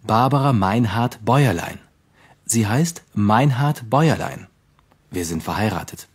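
An actor playing a separated husband being interviewed, with a husky voice, for a textbook recording. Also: male voice, fairly low pitch, lively intonation, measured pace, exaggerated voice.

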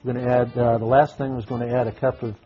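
A middle-aged man chef talks his way through a recipe on a TV studio set, clipped to a lapel mic. He speaks at an average pitch in a relaxed, folksy way, filling gaps with "uh" as he cooks. The broadcast audio is a little dull, with faint music behind. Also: fast talker. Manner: folksy, talks while cooking with frequent fillers. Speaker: restaurant chef. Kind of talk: live TV cooking demo.

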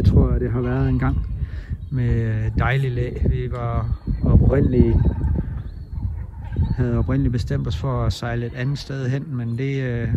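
A man at a mid pitch talks casually about where the boat has landed. He halts often and fills gaps with "uh". Loud outdoor noise runs behind his voice. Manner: halting, with many fillers. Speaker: sailing vlogger. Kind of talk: casual on-location talk.

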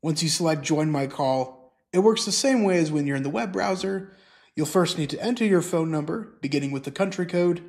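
A middle-aged man presenting scripted instructional narration, explaining clearly step by step. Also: fairly high pitch, fairly quick. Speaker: software tutorial presenter.

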